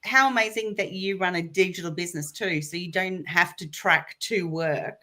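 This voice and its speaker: Bright-voiced chatty woman host. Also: on the low side, normal speed. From Australia. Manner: friendly, chatty, many fillers. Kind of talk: casual video interview.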